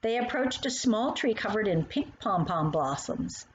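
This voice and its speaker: A woman's voice: medium pitch, expressive, measured pace. A woman reading her own storybook aloud for young children, addressing the little listeners directly. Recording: dull lo-fi sound with faint noise.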